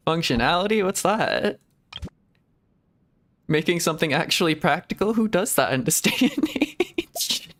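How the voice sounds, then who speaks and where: A man's voice: on the high side, very animated, slow pace, long pauses, fairly bright tone. A man streaming a game, rambling to himself in casual commentary and giggling often. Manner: rambling self-talk with frequent giggles. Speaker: VTuber streamer.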